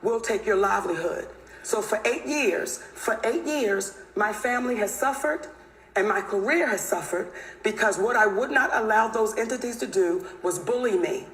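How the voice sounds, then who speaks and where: A woman guest in a televised interview. She speaks in a deliberate, insistent way. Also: medium pitch, lively intonation, fairly bright tone. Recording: echoey clip with faint noise.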